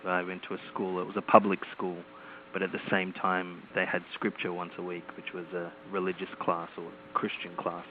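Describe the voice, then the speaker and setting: A man from Australia, calmly answering as an author being interviewed. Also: low voice, fairly quick. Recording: phone line with faint noise.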